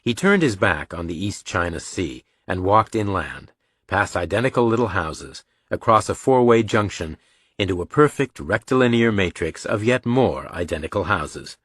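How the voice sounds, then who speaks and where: A man's voice: deep voice, lively intonation, moderate pace, fairly bright tone, slightly rough, dry recording, clean studio sound. A man narrating a thriller audiobook in a scripted, dramatic storytelling style.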